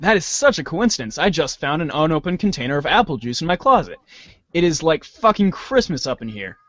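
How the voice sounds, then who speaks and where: A brash teenage boy played by a man talks slangily in a fairly high, very animated voice. It is an amateur audio drama character, captured dry and a little dull. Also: fairly quick, no echo. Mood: brash, excited.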